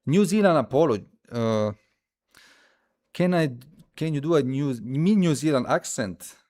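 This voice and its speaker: A man who speaks as a hosts a casual live coding stream, thinking aloud haltingly and repeating his phrases as he demonstrates. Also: a little high, lively intonation, darkish tone. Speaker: livestream host.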